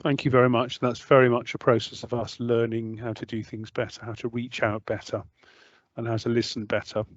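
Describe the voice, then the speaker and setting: A man's voice: mid-range voice, flat delivery, no room sound. Man chairing an online meeting. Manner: polite, measured, handing over to speakers. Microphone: video call. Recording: muffled, dry video call audio.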